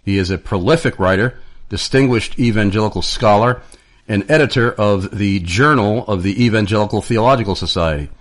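Man, lowish voice, radio host, measured, hesitant fillers. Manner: plain, measured delivery with frequent "uh" fillers. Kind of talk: radio interview.

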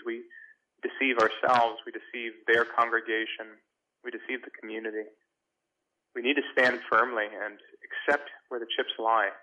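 A man's voice: mid-range voice, pushed and bright, lo-fi sound. A man, a pastor answering earnestly on a radio show, often restarting words as he talks. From Brooklyn, New York. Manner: earnest, halting, repeats words when starting.

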